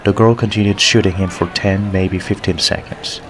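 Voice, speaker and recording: Very deep-voiced male audiobook narrator. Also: fairly even intonation, normal speed, a bit bright, slight background noise. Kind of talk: scripted story narration.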